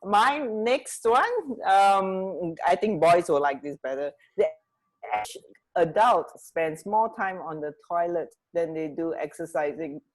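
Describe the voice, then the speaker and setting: A woman's voice: on the low side, unhurried, poor audio. Casual woman co-hosting radio chat. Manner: giggly, informal. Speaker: radio co-host. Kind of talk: casual chat, sharing trivia.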